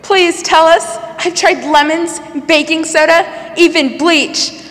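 A woman's voice: extremely high pitch, fairly even intonation, slow, fairly bright tone, faint music behind, full character voice. A young woman acting an eager, easily exasperated housewife in a 1940s-style radio soap advert. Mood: exasperated, pleading. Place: school theatre stage, indoors. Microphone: stand microphone. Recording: echoey stage hall.

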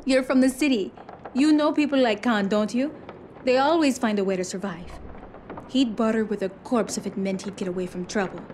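A woman's voice: a little high, brisk, a little mellow, slight background noise, lightly acted. An actress playing a guarded, sardonic young village woman in a video game, dry and dismissive. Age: young adult. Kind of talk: video game cutscene acting.

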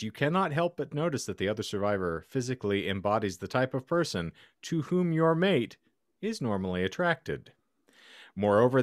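A man's voice: medium pitch, no room sound. A man in his thirties hosting a podcast, with a theatrical, wry delivery, recorded close on a microphone in a home room. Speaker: podcast host. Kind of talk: reading a scenario aloud, podcast banter. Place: small home room, indoors.

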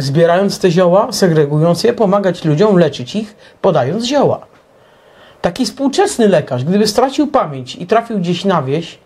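A middle-aged man co-hosting a talk show, explaining his opinions in a halting monologue. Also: sits high, a little mellow, breathy edge.

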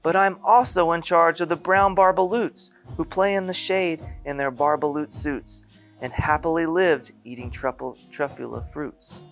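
A man's voice: fairly high pitch, steady tone, normal speed, a bit bright, soft background music, pitched above the performer's own voice, rough recording. A man voicing a small grumpy male forest creature who scolds in fussy rhyming speech, as in a storybook reading. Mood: scolding.

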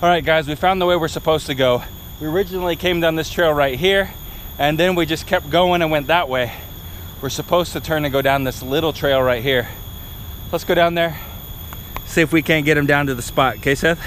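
A man's voice: fairly high pitch, brisk, a bit bright, a little hiss or hum, rough recording. A man in his forties hosting a casual vlog, chatting to viewers as he hikes through a tropical jungle with a handheld camera. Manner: chatty, narrating to viewers while hiking. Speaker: vlogger. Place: outdoors in a tropical jungle by a river. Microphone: handheld action camera.

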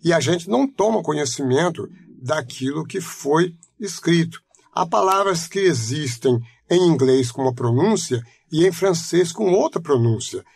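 A man in his seventies from Brazil, speaking with a Rio de Janeiro accent and giving a measured, reflective oral history interview. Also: on the high side, animated, vocal fry, darkish tone, husky, no echo. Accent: Rio de Janeiro Portuguese. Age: 70s. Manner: measured, reflective, explanatory, frequent "né?" tags. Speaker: interviewee, retired teacher.

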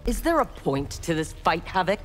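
A woman's voice: fairly low pitch, big pitch swings, normal speed. An actress voicing a stern, armored female clan warrior in a fighting game, speaking in a cold, clipped, menacing way. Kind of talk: game intro banter. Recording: game audio with music behind.

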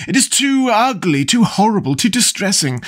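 A man voicing a witty, languid young aristocratic gentleman with an urbane, epigrammatic manner, read as an audiobook character. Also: high voice, big pitch swings, normal speed, pitched above the performer's own voice, heavily acted.

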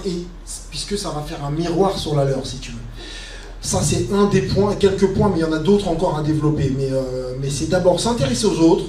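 A man in his forties answering a question at a conference stand on a handheld mic, halting with many fillers and repeats. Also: a little high, darkish tone, recorded from a distance, slight background noise. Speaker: guest speaker. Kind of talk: casual panel answer. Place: conference media stand, indoors. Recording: echoey hall, heard from afar.

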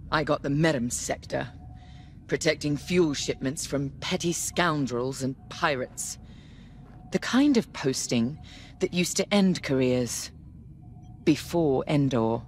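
A woman playing a stern, bitter, loyal Imperial captain in a video game, formal and measured. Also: a bass voice, slight background noise, full character voice. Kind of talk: dramatic game cutscene dialogue.

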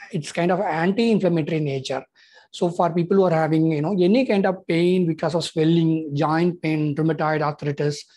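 A man in his thirties from Tamil Nadu, speaking English with a Tamil accent, talking casually and haltingly to camera as a health insurance consultant, with many "uh" and "you know" fillers. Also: on the high side, a little mellow, slightly rough. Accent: Indian English, Tamil. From Tamil Nadu, India. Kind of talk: casual informational vlog.

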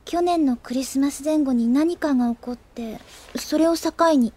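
A high, youthful voice from a woman acting as a cheerful schoolgirl who loves gossip. The delivery is that of an anime audio drama, and music plays beneath it. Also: moderate pace, a little mellow, natural voice. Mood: confiding. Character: cheerful, gossipy, studious teenage schoolgirl friend.

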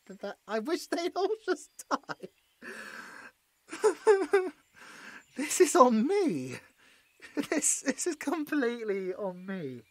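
A woman playing an anguished, guilt-ridden young British male adventurer in an audio roleplay, halting and emotional. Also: medium pitch, no room sound. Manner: halting, emotional, breaking into bitter laughs.

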